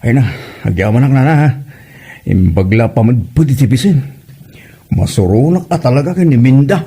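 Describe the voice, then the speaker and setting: A man's voice: medium pitch, lively intonation, moderate pace, dark tone, husky. A man with an Ilocano accent acting a grumbling village farmer husband in a comedy drama. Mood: complaining. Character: grumbling, hungry village husband back from farming.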